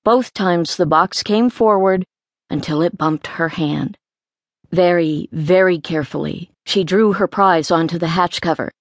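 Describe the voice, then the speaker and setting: A woman narrates a children's fantasy audiobook in a scripted storytelling style. Her voice sits somewhat low for a woman. The sound is very dry, with no room around it. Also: measured pace, no room sound.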